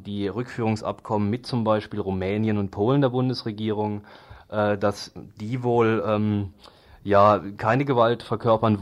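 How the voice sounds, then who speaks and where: A male radio reporter giving an unscripted studio report, halting and searching for words. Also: on the low side. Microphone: studio microphone.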